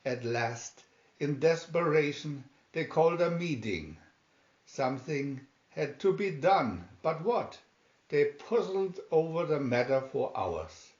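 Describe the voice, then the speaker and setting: A man reading a children's story aloud in a deliberate, dramatic storytelling manner. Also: fairly high pitch, big pitch swings, a little mellow. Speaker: storybook reader. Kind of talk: scripted children's story narration, read aloud. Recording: roomy, slightly dull home recording.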